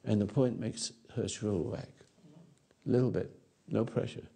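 A man in his eighties giving a rambling philosophical talk to an audience on a lapel mic, halting and repeating his words. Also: fairly low pitch, fairly even intonation, gravelly, dark tone, breathy edge. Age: 80s. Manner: gestures with hands while talking. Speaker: speaker answering audience. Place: dark stage or studio.

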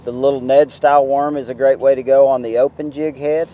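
A man in his forties giving casual fishing tips to the camera from a boat on a lake, talking in a relaxed, chatty way with many fillers. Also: mid-range voice, fairly quick, highs cut off, a little hiss or hum. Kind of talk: casual how-to talk to camera.

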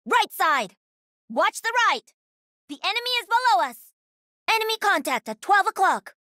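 Young female gamer mech pilot, lively swooping intonation, dry studio sound, cocky, playful, punchy video game lines. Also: very high-pitched, moderate pace, no echo, heavily acted. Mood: alert, brisk.

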